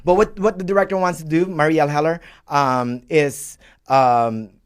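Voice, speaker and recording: A man giving movie reviews on a talk show, animated and playful. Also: a little high, moderate pace, smooth. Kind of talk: radio movie review chat.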